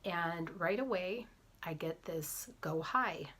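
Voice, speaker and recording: Lowish-voiced woman with a cold, chatty. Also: takes it slowly. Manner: chatty, animated storytelling with frequent soft whispered asides. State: has a cold. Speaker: vlogger, physician assistant and fitness coach. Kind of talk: casual storytelling to camera.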